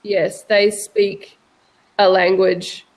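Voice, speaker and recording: A woman with a New Zealand accent tutoring English over a video call, speaking patiently and clearly for a learner. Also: mid-range voice, level tone, drawn-out words, low-quality recording. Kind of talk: casual video-call conversation.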